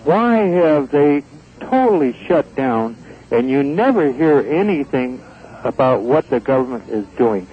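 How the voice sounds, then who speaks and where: A man's voice: mid-range voice, very animated, a little slow. A man speaking as a caller to a radio show, asking a question conversationally over the telephone. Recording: tinny phone line with faint noise.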